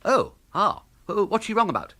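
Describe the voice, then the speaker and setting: An actor playing a flustered, hapless young English gentleman in a comic radio drama, with a plummy upper-class accent and a blustering manner. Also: sits high, very animated, normal speed, full character voice. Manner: plummy, blustering, sarcastic, stammering when cornered.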